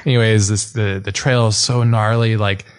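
A man's voice: lowish voice, flat delivery, moderate pace, clear, resonant tone, dry recording. A man from Hawaii hosting a podcast, telling stories with enthusiasm, swearing and using slangy words. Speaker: podcast host. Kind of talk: casual chat.

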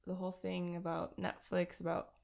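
A woman's voice: on the low side, monotone, moderate pace, gravelly, darkish tone. A young woman co-hosting a podcast, chatting casually and laughing often. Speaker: podcast co-host. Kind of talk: casual chat. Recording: dull lo-fi podcast audio.

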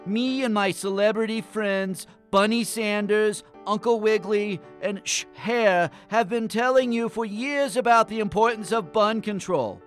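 A man's voice: high voice, unhurried, hardly any pauses, soft background music. A man voicing a smug, self-important celebrity rabbit activist in a spoof public service announcement. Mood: smug, scolding.

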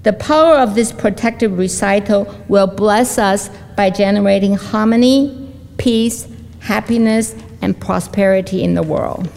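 Slow, creaky woman in a big hall. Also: mid-range voice, darkish tone, a little hiss or hum. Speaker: speaker at an interfaith service. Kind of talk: reading prepared remarks. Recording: long hall reverb.

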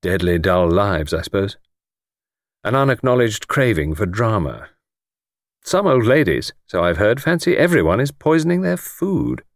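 A man playing a polite, sceptical English policeman in an audiobook, speaking in a measured, laconic and courteous way. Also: fairly low pitch, big pitch swings, dry recording, studio quality. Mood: privately amused. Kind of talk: audiobook character reading.

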